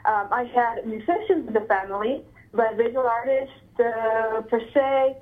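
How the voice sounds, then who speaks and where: A woman from Ecuador answering interview questions, hesitant, with many fillers and frequent throat clearing. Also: on the high side, a little slow. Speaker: interviewee, artist. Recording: phone-like narrow sound.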